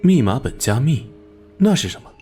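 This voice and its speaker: An actor playing a hot-tempered, by-the-book police captain in an animated drama, blunt and authoritative. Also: male voice, mid-range voice, normal speed, soft background music, close to an everyday voice. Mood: curious, businesslike.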